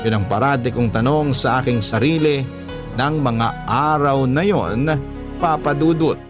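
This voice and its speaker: A man narrating a listener's letter in steady, earnest first-person storytelling for a radio drama. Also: mid-range voice, unhurried, music in the background.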